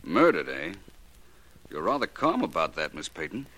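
An actor playing a courteous, cool private investigator in a 1940s radio drama, speaking crisply and without fluster. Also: male voice, low-pitched, big pitch swings, normal speed, a bit bright, exaggerated voice. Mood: cool, probing. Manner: polite, crisp, unruffled. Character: Philo Vance, suave adult male private investigator, courteous, cool. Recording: noisy vintage 1940s radio recording.

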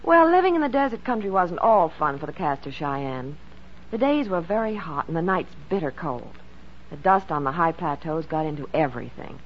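A dull, faintly noisy 1940s radio recording carries a woman's highly animated voice. She delivers scripted promotional chat as a radio presenter of the period. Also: normal speed.

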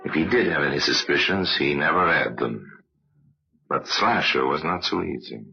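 A man acting as a sinister, knowing crime-story narrator in an old-time radio drama, speaking deliberately. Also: a bass voice, gravelly, over music. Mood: grave, ominous. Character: ominous, knowing male narrator of true crime tales. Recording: dull old radio recording.

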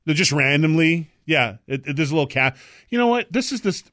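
A man's voice: fairly high pitch, fairly quick, no room sound. Joking man with a dry, close sound. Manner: joking, loud excited outbursts, rambling asides. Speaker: co-host. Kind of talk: casual banter on a talk show.

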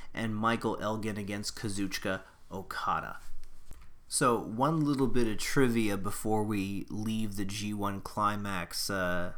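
A man's voice: on the low side, pushed and bright. A man hosting a podcast, talking through wrestling news in a rambling, self-correcting, conversational way. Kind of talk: casual solo commentary.

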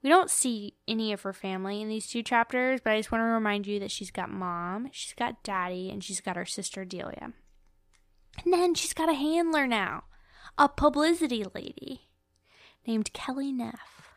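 A woman's voice: a little high, moderate pace, no echo, clean studio sound. A woman hosting a podcast, chatting casually and comedically about a book in a playful, emphatic, sweary way. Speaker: podcast host.

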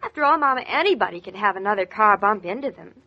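An actress playing an eager, anxious young newlywed wife in 1940s American radio drama, with a gushing, coaxing manner. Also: female voice, mid-range voice, animated, fast, highs cut off. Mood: hopeful, stubborn. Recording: vintage 1940s radio recording.

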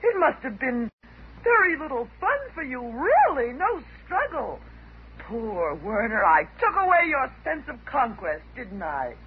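An actress playing a bitter, wounded divorced housewife, sarcastic and dramatic, in the style of 1950s radio drama. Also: female voice, high-pitched, very animated, measured pace. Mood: bitter, hurt. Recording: muffled, noisy 1950s radio recording.

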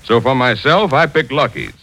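A man's voice: mid-range voice, moderate pace, pushed and bright, faint music behind. A man playing a plain-spoken veteran tobacco buyer giving a 1940s radio ad testimonial. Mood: assured. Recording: old radio recording.

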